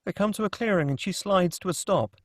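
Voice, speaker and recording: A man's voice: high-pitched, a little fast, dry recording, clean studio sound. A man reading screenplay stage directions as narrator at a table read.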